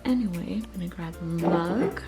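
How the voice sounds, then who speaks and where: A young woman vlogging at home, chatty and relaxed, walking through her evening and morning routine and talking to her viewers. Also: on the low side, normal speed, music in the background. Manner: chatty, relaxed, says "like" and "you guys" often. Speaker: lifestyle vlogger. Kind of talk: casual chat to camera, showing a routine. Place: her home, bedroom and kitchen.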